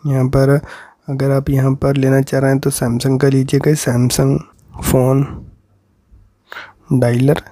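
A man's voice: mid-range voice, completely flat delivery, slow pace, long pauses, dark tone, no room sound, clean studio sound. A man with an Indian accent giving an informal tutorial voice-over as a tech host, repeating himself and often addressing his viewers directly.

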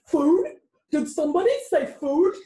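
Woman playing a high-pitched, swooping, gluttonous animal. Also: animated, measured pace, mellow. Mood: hungry, whiny. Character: gluttonous, dopey animal who jokes about eating friends. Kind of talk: amateur children's play acting.

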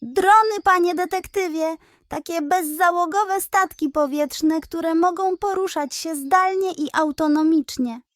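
A woman's voice: very high voice, unhurried, no echo, studio quality, voice pushed up. A woman voicing an eager, sheepish young male badger inventor in a children's bedtime story. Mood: excited. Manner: enthusiastic, sheepish.

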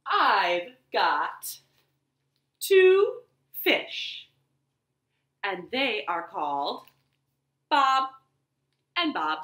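A young woman hosting a children's storytime at home, reading aloud and chatting to young children in an animated, deliberate way. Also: medium pitch. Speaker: library storytime host and reader. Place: small living room at home, indoors. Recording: roomy living-room echo.

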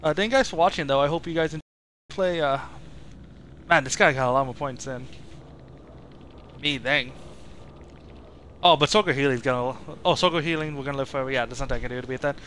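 A man's voice: medium pitch, brisk, long pauses, fairly bright tone. A man chatting casually as a game streamer signing off to his viewers, rambling and correcting himself as he goes. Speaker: gaming streamer and video creator. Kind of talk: casual chat, video outro. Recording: noisy livestream capture.

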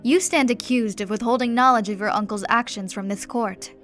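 A woman voicing a ruthless male vampire emperor in an audiobook, speaking in a loud, commanding way. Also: fairly high pitch, brisk, soft background music, lightly acted.

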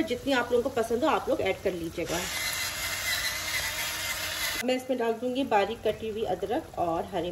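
A woman's voice: a little high, background noise. Woman, cooking voice-over. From Pakistan. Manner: friendly, explains each step plainly. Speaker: cooking channel host. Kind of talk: step-by-step recipe voice-over. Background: frying and sizzling.

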